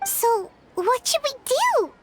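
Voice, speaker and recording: Squeaky little fairy sidekick for a game, crazy high and bouncy, earnest kid sound. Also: female voice, big pitch swings, slow pace, noisy background, full character voice. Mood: anxious. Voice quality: squeaky, childlike. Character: tiny childlike fairy companion, excitable and earnest. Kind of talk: video game voice acting.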